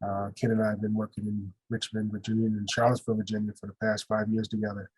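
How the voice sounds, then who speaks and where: A man's voice: fairly low pitch, completely flat delivery, quick pace, darkish tone, breathy edge, low-quality recording. A man who directs a counseling practice, introducing himself formally and sincerely on a video call. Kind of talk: casual self-introduction.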